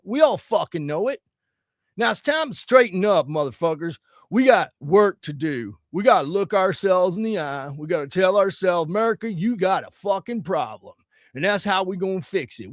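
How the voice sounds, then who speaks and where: A man's voice: high voice, no room sound, clean studio sound. A man, ranting crudely and preachily to camera about politics while plugging his song, his voice a little scratchy from singing. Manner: loud, crude, preachy, swearing constantly. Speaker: musician promoting his song. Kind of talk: profane political rant to camera.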